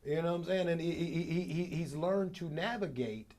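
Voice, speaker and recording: A man's voice: on the high side, moderate pace, darkish tone. A middle-aged American man, a radio broadcaster being interviewed, tells stories in a polished, emphatic conversational way, repeating phrases for effect. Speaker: radio program director and morning show host, interview guest. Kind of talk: animated conversational storytelling.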